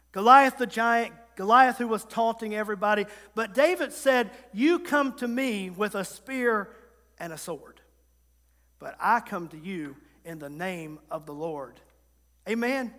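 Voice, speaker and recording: A man in his thirties delivers a sermon with a notably high, bright voice, driving home points through repetition and quick asides to his listeners. His words ring out with the long reverb of a large hall. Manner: emphatic, repetitive preaching with call-and-response asides. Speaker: preacher.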